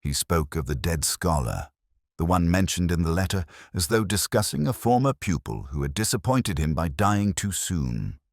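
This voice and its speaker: A man playing a brooding, observant police detective, narrating his case in a measured, solemn, literary manner for a mystery drama. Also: low-pitched, very animated, no echo, studio quality, heavily acted. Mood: somber, observant. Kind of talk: scripted first-person mystery narration.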